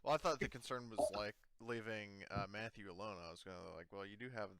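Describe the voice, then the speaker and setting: A man's voice: lowish voice, quick pace, over a noisy crowd. A man acting as game master, talking casually and thinking aloud through the rules, with some swearing. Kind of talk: casual tabletop roleplaying game talk.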